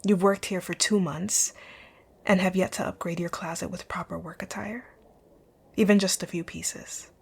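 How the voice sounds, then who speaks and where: A woman playing a rich, dominant boss in an ASMR roleplay, speaking in a curt, commanding way with a soft, breathy, husky voice. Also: lowish voice, steady tone, normal speed, full character voice. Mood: stern, probing. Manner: curt, commanding, breathy, often near a whisper. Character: rich, rude, dominant female boss, stern but secretly caring. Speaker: audio roleplay voice actor.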